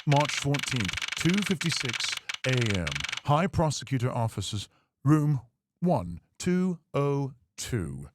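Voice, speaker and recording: A man voicing a haughty, composed young male prosecutor from a video game, with stern, formal, measured delivery. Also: mid-range voice, sing-song, very noisy. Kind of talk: live video game voice-over.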